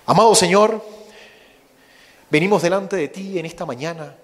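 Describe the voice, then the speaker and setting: A Venezuelan man with a Venezuelan Spanish accent, a missionary preaching and praying fervently, slowing to stress his words. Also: fairly high pitch. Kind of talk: sermon with opening prayer. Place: church sanctuary. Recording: long reverb of a church hall.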